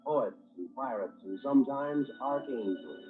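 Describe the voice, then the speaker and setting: A man's voice: mid-range voice, lively intonation, a little slow. A man narrating in a scripted, dramatic 1940s radio style as the announcer of a program. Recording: dull old radio broadcast recording. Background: music.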